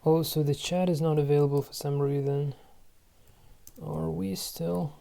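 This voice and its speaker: Gravelly, mellow-voiced man thinking aloud. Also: on the high side, steady tone. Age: 30s. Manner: musing aloud to himself, halting. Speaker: channel host playing online board games. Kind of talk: casual think-aloud game commentary.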